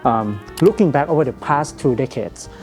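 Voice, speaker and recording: A man working as a field host, eager and formal; his first language is Thai and he asks questions in halting English. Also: medium pitch, animated, darkish tone. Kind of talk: host interview. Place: watch boutique in a mall. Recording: music playing behind.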